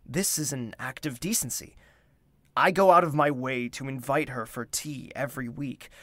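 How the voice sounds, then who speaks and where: A reserved young prince, played by a man in an audio drama, with a mid-pitched voice. He speaks formally and with measured courtesy, considerate of others and inclined to doubt himself. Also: fairly bright tone, dry recording, studio quality, natural voice. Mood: quietly astonished, reflective. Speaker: character actor and first-person narrator. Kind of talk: scripted audio drama with inner monologue.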